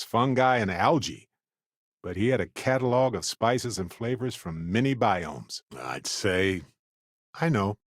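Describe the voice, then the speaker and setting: An actor playing an earnest, wry, talkative space explorer companion in a video game, speaking in a conversational, reflective way. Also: male voice, on the low side, no echo, clean studio sound.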